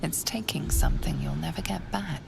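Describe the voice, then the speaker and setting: A woman playing an ominous narrator of a dark fantasy game, speaking in a portentous, hushed manner. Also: deep voice, normal speed, creaky. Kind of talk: dramatic second-person game narration. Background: music.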